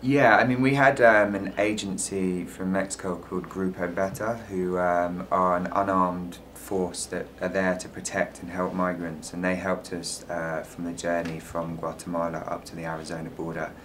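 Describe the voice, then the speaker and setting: A man from London with a London accent, a filmmaker guest speaking in a relaxed way with many fillers in a panel interview. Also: low-pitched, normal speed, few pauses, slight background noise. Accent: London English. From England.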